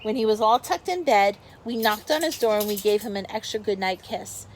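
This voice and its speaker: A woman's voice: medium pitch, lively intonation, fairly quick, a little hiss or hum, poor audio. A woman teacher reads a picture book aloud to young children, expressively, with explanatory asides to her pupils.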